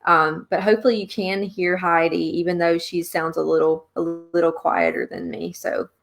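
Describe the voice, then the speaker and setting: A woman's voice: fairly low pitch, fairly even intonation, moderate pace, creaky. A woman from Louisiana with a Louisiana accent, hosting a live online author chat in an upbeat, chatty, rambling way on a podcasting microphone. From Louisiana, USA. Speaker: host.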